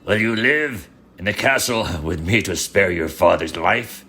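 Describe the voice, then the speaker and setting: A man voicing an ugly, commanding yet lovesick beast in a storybook, theatrical and raspy. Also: low-pitched, expressive, measured pace, bright, forceful tone, faint music behind, pitched above the performer's own voice, exaggerated voice. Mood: pleading. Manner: theatrical, emphatic.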